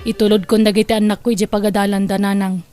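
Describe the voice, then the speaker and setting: A woman's voice: medium pitch, little pitch movement, fast, mellow, soft background music, lightly acted. A woman with an Ilocano accent playing a fearful, distraught mother in an audio drama, insistent and plaintive. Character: distraught, fearful young mother clinging to her children.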